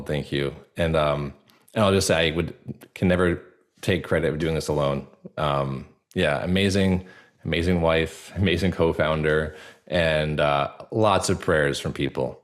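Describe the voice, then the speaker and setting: Low deep guy voice, podcast guest, casual and kinda halting, into what he's saying. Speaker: podcast guest, actor and audio drama producer. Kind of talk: casual interview chat.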